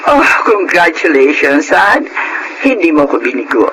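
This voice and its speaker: A middle-aged, well-to-do Filipino mother who expects to be obeyed. She sounds imperious and dramatic, swinging in pitch, in a radio drama with music. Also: sing-song, normal speed, rough recording. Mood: proud, insistent. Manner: imperious, English-sprinkled Tagalog. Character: Monica, proud domineering well-to-do widowed mother.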